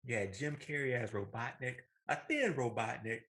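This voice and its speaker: A man's voice: mid-range voice, moderate pace, a bit bright. A man co-hosting a casual, opinionated podcast, telling stories in a colloquial, emphatic way. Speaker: podcast co-host. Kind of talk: casual opinionated chat about films.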